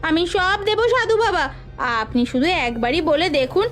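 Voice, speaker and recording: Woman as very shrill, dramatic village housewife. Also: very animated, normal speed, fairly bright tone, soft background music, exaggerated voice. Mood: pleading. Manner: exclaiming, wailing, beseeching. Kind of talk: melodramatic cartoon voice acting.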